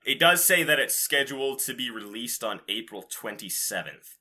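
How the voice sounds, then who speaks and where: A man's voice: mid-range voice, expressive, normal speed, sharp tone. A man hosting a podcast, chatting casually about game news in an informal, rambling, casually profane way. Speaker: podcast host.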